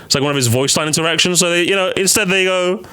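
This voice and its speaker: A man's voice: high-pitched, rapid-fire, pushed and bright, smooth. A man giving casual, sarcastic live stream commentary, repeating himself for effect and swearing freely. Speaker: streamer reacting to and ranking game voice lines.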